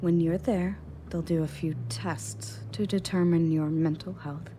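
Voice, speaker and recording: A woman's voice: fairly low pitch, steady tone, measured pace, warm tone, close to an everyday voice. A woman playing a confident, matter-of-fact rescue agent in an audio roleplay, speaking conversationally to the listener. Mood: reassuring, businesslike. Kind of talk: scripted audio roleplay, in-character monologue to listener. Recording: clearly audible background noise and ambience.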